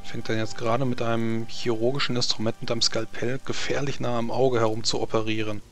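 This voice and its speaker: A man's voice: medium pitch, little pitch movement, a little fast, fairly bright tone. A man narrating a tabletop roleplaying game as game master.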